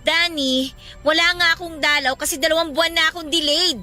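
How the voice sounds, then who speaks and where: A woman's voice: high-pitched, steady tone, brisk, pushed and bright, soft background music. A woman playing an irritable, anxious young pregnant girlfriend in a Filipino radio drama, emotional and accusing. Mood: irritated, anxious.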